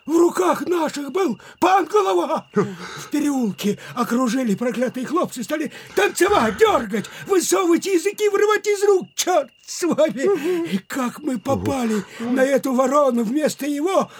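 An actor playing an excitable, frightened, superstitious village watchman in a theatrical radio play, yelping and pleading. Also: very high voice, moderate pace, few pauses, slight background noise.

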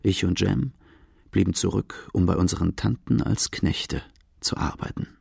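An actor playing an outlaw recounting his boyhood, as gravelly first-person narration in a radio drama. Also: low voice, moderate pace. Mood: sombre.

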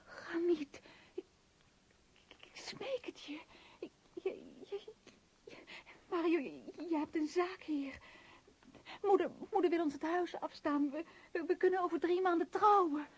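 A woman's voice: extremely high pitch, measured pace, pauses often. An actress playing an anxious young woman in a radio play, pleading with her fiancé in hesitant, stammering phrases. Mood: tearful pleading. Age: young adult. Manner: hesitant, stammering, repeating words. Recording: muffled old radio drama recording.

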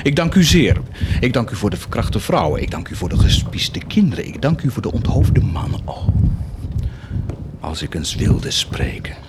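An actor playing a proud, cruel, blasphemous warlord in a theatrical radio drama, declaiming and mocking. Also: male voice, fairly low pitch, sing-song, moderate pace, very noisy. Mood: bitter, blasphemous. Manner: declamatory, mocking, swinging from hushed to shouted.

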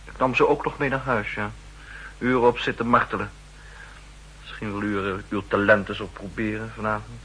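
Young spy service officer in a 60s radio play, earnest n stubborn, sharp slightly rough voice. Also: male voice, lowish voice, steady tone, fairly quick, rough recording. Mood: frustrated, reporting. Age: young adult. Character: eager young male intelligence officer, frustrated, ambitious. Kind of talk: 1960s radio drama acting.

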